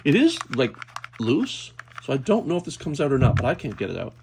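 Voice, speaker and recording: A man's voice: mid-range voice, wildly swooping pitch, a little fast, a little mellow. Man thinking aloud over noisy clicking. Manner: hesitant, thinking aloud while handling objects. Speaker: toy unboxing video host. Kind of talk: casual unscripted hands-on commentary. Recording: clear background noise, clicking handling sounds.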